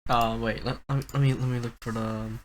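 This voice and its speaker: Young man, medium pitch, casual podcast host, halting and rambling storyteller. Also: little pitch movement, slight background noise.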